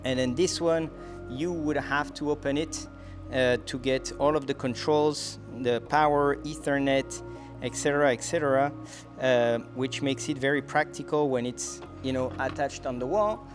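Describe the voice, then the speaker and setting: Guy doing a product walkthrough, mid pitched voice, explains stuff step by step, music in the back. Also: steady tone, moderate pace. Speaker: product presenter. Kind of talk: semi-scripted product demonstration, explanatory.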